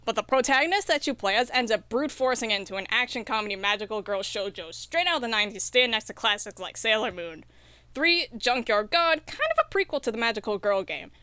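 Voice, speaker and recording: A woman's voice: on the high side, animated, quick pace, pushed and bright, smooth, no echo. A woman speaking as a podcast guest and indie developer, chatting casually in an enthusiastic, earnest way. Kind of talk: casual interview chat.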